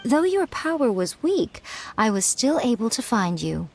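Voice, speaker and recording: A woman's voice: fairly high pitch, big pitch swings, measured pace, a little mellow, husky, full character voice. A woman voicing a powerful fox yokai priestess in a video game, composed, elegant and teasing. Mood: calm, knowing. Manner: composed, sly, elegant, self-assured.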